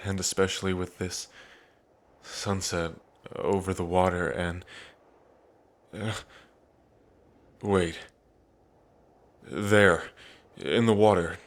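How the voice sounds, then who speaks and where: Guy doing a devoted dragon prince for asmr roleplay, really deep voice, pretty flat and earnest. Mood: alarmed, urgent. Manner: slow, earnest, with long pauses between phrases. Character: lovestruck dragon prince, grieving, tender, devoted. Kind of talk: ASMR roleplay, intimate dramatic monologue.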